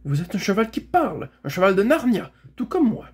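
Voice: mid-range voice, sing-song, moderate pace, darkish tone, husky, exaggerated voice. A storybook reader voicing a proud, gallant male talking horse in an emphatic, formal manner.

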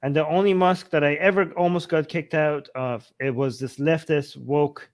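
A man's voice: a little high, steady tone, moderate pace. A young man from Iran chatting casually and joking on a video call with a headset. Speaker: co-host. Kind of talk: casual chat.

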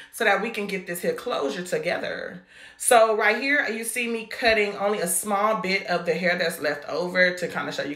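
American woman for casual hair vlog narration: slangy, playful, forceful tone, echoey room sound. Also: lowish voice. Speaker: vlogger showing a hairstyle.

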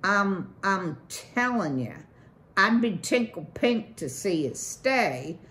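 A woman reading a storybook, voicing a brash, fast-talking male beaver boss with slangy salesman patter. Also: mid-range voice, sing-song, a bit bright.

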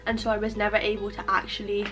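A woman's voice: mid-range voice, flat delivery, fast talker, fairly bright tone, faint music behind. A young woman chatting to camera in a casual vlog, rambling and self-mocking, recorded in her bedroom on a handheld camera. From England. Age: young adult. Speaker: vlogger. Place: bedroom at home. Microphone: camera at arm's length.

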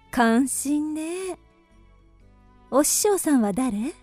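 An actress in a radio drama playing a forward young geisha, coy and probing, with old-fashioned feminine speech. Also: female voice, sits high, animated, drawn-out words, darkish tone, dry recording, soft background music, heavily acted. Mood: teasing, forward.

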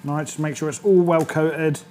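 A British man in his thirties presents an informal cooking demonstration as a chef. His voice sits a little higher than average, and he explains each step in a chatty, instructional way, often checking that the listener follows. Some background noise from the kitchen set can be heard. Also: steady tone, brisk, a little mellow. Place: indoor kitchen studio set.

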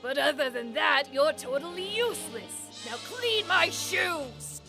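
A woman's voice: sits high, animated, a little slow, over loud music. A woman voicing a vain, bullying lady pirate captain in a manga dub, haughty and commanding. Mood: haughty, menacing. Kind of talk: anime-style manga dub acting.

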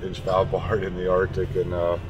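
An American man, an ice carver, speaking in a relaxed documentary interview outdoors in the Arctic. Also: deep voice, normal speed, lo-fi sound. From Connecticut, United States. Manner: relaxed, thoughtful, with many fillers. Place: outdoors in the Arctic, on a ship deck. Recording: music playing behind.

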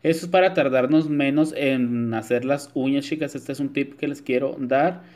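A man's voice: medium pitch, moderate pace, darkish tone. A man narrating a nail tutorial in a chatty voice-over, with frequent filler words. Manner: chatty, many filler "este", addresses viewers as "chicas". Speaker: nail technician.

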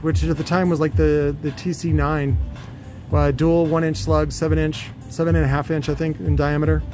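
A man with a slightly high voice talks through technical material off the cuff. His delivery is casual and blunt, full of opinion and rough language. Music plays behind him. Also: a little mellow. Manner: blunt, opinionated, salty-tongued. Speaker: presenter, loudspeaker builder. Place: cluttered indoor workshop.

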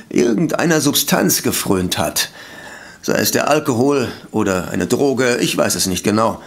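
A man's voice: mid-range voice, creaky. An actor playing a polite, defensive male sanatorium director in a detective radio play, speaking formally and hesitantly. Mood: feigned regret. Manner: formal, hesitant, self-justifying. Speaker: voice actor in audio drama.

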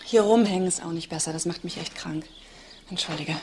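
A woman playing an evasive, hurried character under questioning in a crime drama. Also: lowish voice, darkish tone, slight background noise, lightly acted. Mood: strained. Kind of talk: German TV crime drama acting.

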